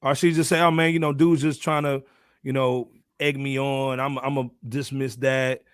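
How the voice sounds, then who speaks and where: A man's voice: medium pitch, flat delivery, normal speed, clean tone, no room sound, studio quality. A man hosting a casual hip hop panel chat in slangy talk, often punctuating with "man". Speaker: podcast host.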